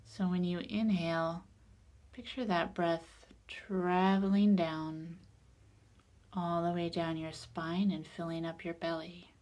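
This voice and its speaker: A woman leading a guided meditation in a calm, soothing, unhurried way, her soft voice turning breathy at the ends of phrases. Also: on the low side, highs cut off.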